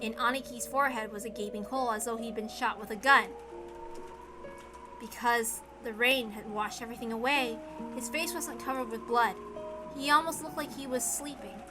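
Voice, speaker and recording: A woman with a fairly high voice reads a dramatic story aloud. Her narration is expressive and deliberate, with a theatrical touch. Music plays clearly behind her. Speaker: reader of a visual novel. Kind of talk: dramatic scripted narration with acted dialogue. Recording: background music under the reading.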